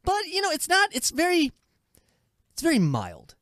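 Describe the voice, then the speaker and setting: A woman's voice: very high-pitched, huge pitch swings, normal speed, a bit bright, no echo, studio quality. A woman hosting a casual film review chat, speaking emphatically and with strong opinions. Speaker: film review podcast host.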